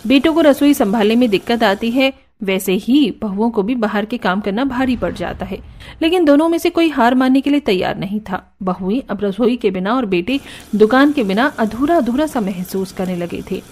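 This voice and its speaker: A woman narrating a cartoon story in an even storytelling manner. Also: a little high, normal speed. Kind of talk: scripted narration.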